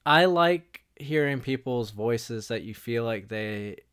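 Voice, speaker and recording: A man hosting a podcast interview, asking questions in a relaxed, hesitant way with many fillers. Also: mid-range voice, slow, clear, resonant tone. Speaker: podcast host. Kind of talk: casual interview chat.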